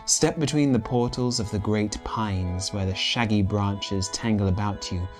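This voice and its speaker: A man narrating a literary story in a deliberate, menacing, dramatic style, as a scripted readthrough. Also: fairly low pitch. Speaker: reader of a short story. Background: instrumental background music.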